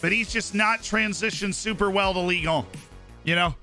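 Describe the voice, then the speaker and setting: A man's voice: sits high, normal speed, pushed and bright, soft background music. A young man streaming live, chatting casually and opinionatedly about football, with lots of fillers and asides.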